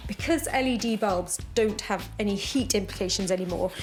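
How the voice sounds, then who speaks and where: A woman's voice: medium pitch, fairly quick. A woman presenting casually, thinking aloud as she works through a craft job. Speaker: upcycling TV show presenter. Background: music.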